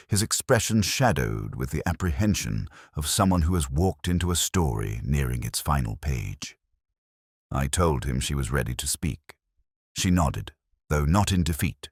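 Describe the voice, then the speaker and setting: A man with an extremely low voice reads a scripted mystery narration. His delivery is solemn and brooding, rising and falling expressively. The sound is close and dry, with little room around it. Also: husky, dry recording. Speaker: narrator of a detective story. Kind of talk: scripted literary narration, first-person mystery.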